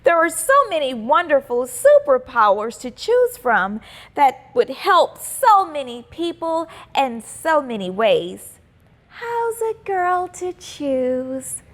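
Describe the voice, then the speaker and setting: A woman reading a children's storybook aloud as an expressive, deliberate narrator. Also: sits high. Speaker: children's book reader and host.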